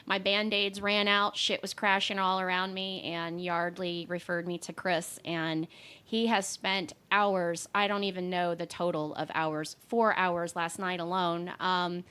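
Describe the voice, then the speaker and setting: A woman's voice: lowish voice, little pitch movement, a little slow, bright, forceful tone, smooth. A woman co-hosting a podcast from her home office, chatting casually and rambling with many fillers and the odd swear. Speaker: podcast co-host. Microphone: home podcast mic.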